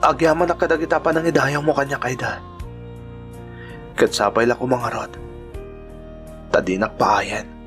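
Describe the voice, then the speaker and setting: A man's voice: medium pitch, level tone, moderate pace, pauses often, fairly bright tone, faint music behind, natural voice. A man playing an earnest, devoted suitor in an Ilocano radio drama, speaking with sincere emotion. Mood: earnest, pleading. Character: earnest lovestruck suitor, a man devoted to Aida and her boy.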